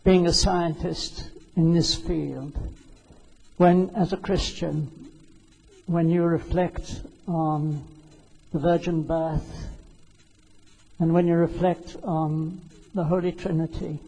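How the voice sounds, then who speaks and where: High, gravelly man asking slowly. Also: little pitch movement, darkish tone. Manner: wandering, hesitant phrasing. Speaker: audience member asking a question. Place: large hall. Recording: long reverb in a hall.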